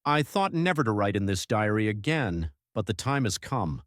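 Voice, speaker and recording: A man acts out an earnest young husband narrating his journal for an audiobook. His voice is on the low side and his delivery is measured and literary. The recording is very dry. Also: sing-song, no room sound. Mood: reflective, resolute.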